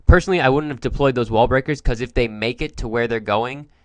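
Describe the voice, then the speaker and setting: A man's voice: medium pitch, quick pace, a little mellow, dry recording. A young man commentating game replays, explaining each attack step by step, with a cold wearing on his voice. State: has a cold, voice going. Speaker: gaming commentator.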